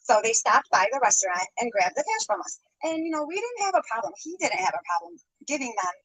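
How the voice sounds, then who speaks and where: A woman's voice: a little high, very animated, fast, fairly bright tone, slightly rough, poor audio. A woman telling a rambling story, hedging often and breaking into little laughs. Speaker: storytime YouTuber.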